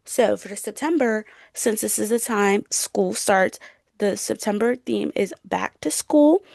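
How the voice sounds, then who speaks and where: A woman's voice: mid-range voice, unhurried, creaky, darkish tone, no room sound, studio quality. A woman chatting casually and emphatically about books as a podcast co-host, in an informal way. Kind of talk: casual book chat.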